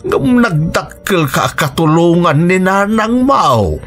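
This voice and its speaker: A man's voice: high voice, big pitch swings, slow, a bit bright, heavily acted. An actor with an Ilocano Filipino accent playing a concerned, protective elderly grandfather in a radio drama, speaking emphatically. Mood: concerned, questioning. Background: music.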